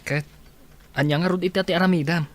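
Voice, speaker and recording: A man playing a concerned, gently persuasive young student, earnest and questioning in an amateur audio drama. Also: sits high, steady tone, quick pace, close to an everyday voice. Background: faint music.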